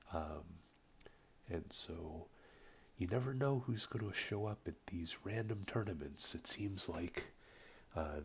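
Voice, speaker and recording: A man with a voice slightly off from a cold, casually commentating a strategy game replay, relaxed and rambling with many ums. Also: low voice, slightly rough. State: getting over a cold. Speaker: game caster. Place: home room with a buzzing light.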